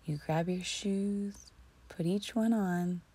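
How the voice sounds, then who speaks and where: A woman narrating a guided imagery relaxation in a soothing, deliberate, breathy voice that often sinks close to a whisper. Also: fairly low pitch, dark tone. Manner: soothing, slow and deliberate with long pauses. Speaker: guided meditation host.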